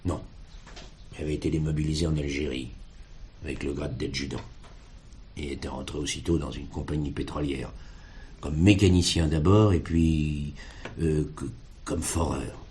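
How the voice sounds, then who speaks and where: An actor playing a plainspoken farmer in a drama, talking in a colloquial, rambling way. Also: male voice, very deep voice, fairly even intonation, normal speed, long pauses, darkish tone, slight background noise. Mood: matter-of-fact, reflective. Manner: colloquial, rambling, punctuated with verbal tags. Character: weary farmer talking about his estranged brother.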